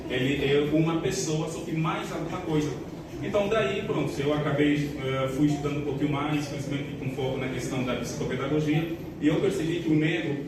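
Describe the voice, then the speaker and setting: A man from Angola with an Angolan Portuguese accent, speaking at a church community event in a measured way, with many pauses and filler words. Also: a little high. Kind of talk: reflective talk to an audience. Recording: echoey hall, distant mic, noisy.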